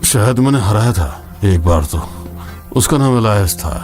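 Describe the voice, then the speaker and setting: A man's voice: on the low side, expressive, moderate pace, a little mellow, natural voice. A man dubbing a world-weary, sardonic older mentor figure in a fantasy game film. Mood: wry, brooding. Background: dramatic background music.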